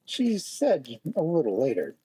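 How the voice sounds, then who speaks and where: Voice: medium pitch, huge pitch swings, unhurried, mellow, slightly rough. A storyteller narrating a fairy tale expressively, with dramatic, lingering phrasing.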